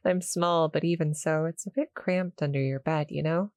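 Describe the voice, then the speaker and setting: A woman voicing a small, shy, friendly cuddle-monster girl in an audio roleplay, halting and apologetic as she speaks to the listener. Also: sits low, steady tone, no room sound, studio quality, close to an everyday voice. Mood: shy, explaining. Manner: nervous, halting, stammering, soft-spoken explaining.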